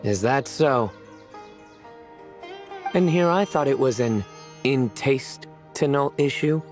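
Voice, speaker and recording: A man voicing a stern young man who loves bad puns and speaks in a deadpan, low-key way in a game cutscene. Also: slow pace, faint music behind.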